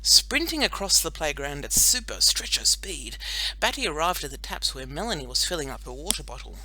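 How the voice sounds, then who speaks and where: A woman narrating a children's audiobook in an expressive, scripted storytelling style. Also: low-pitched, brisk, rough recording.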